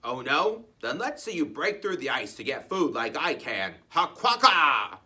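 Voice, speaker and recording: A smug storybook duck, played by a reader. She is bright and boastful, taunting in animated phrases with drawn-out quacks. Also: medium pitch. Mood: scornful. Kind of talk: animated children's storybook read-aloud.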